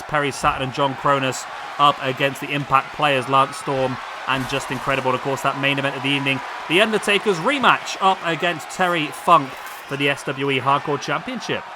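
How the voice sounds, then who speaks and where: Hoarse man calling game action. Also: a little high, a little fast. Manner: chatty, excitable calls over the action. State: losing his voice. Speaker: game commentator. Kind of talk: play-by-play wrestling game commentary. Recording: loud game crowd noise behind. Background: video game crowd and arena sound.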